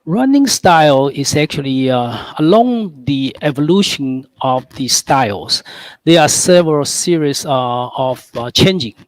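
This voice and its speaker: A man's voice: mid-range voice, expressive, measured pace, dry recording. A man from Taiwan, a guest calligrapher, explaining his work in a conversational way with many fillers and restarts.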